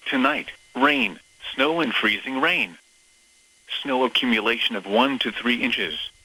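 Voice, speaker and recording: A man's voice: mid-range voice, bright, forceful tone, rough recording. A synthetic American male voice reads a formal scripted weather forecast as an automated radio announcer, impersonal and clipped, phrase by phrase. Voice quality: synthetic text-to-speech voice. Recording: weather radio broadcast sound.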